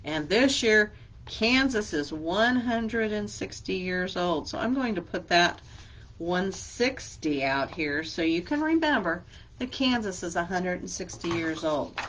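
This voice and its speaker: Woman children's librarian, slow and patient, slightly low voice, teacherly, faint hiss behind. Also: animated, a bit bright. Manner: slow, patient, teacherly with simple questions to kids. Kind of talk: children's story time craft lesson.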